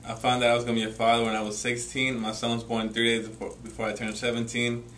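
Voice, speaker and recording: A man's voice: mid-range voice, completely flat delivery, quick pace, a bit bright. An 18-year-old man speaking casually as a young father, often filling his talk with "you know" and "like.". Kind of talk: candid personal testimony. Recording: distant mic with faint noise.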